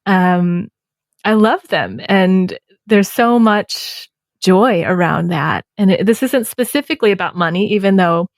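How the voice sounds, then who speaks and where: A woman in her thirties hosting an interview podcast, speaking in a measured, reflective way. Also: mid-range voice, vocal fry, no room sound, studio quality. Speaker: podcast host. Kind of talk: conversational interview.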